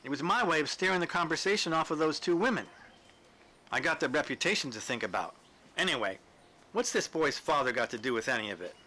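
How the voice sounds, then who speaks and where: Storybook rustic veteran, acted by a male reader: shrewd, folksy, blunt, kindly underneath, with a forceful bright edge. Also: a little high, animated, a little fast. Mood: shrewd, matter-of-fact. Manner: folksy, dialect-laden, blunt. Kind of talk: storybook character dialogue.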